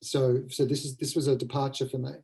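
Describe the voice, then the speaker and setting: Mellow-voiced man in a reflective discussion. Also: mid-range voice, little pitch movement, quick pace. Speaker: playwright, panel guest. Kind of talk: casual panel discussion. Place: indoors, online video call.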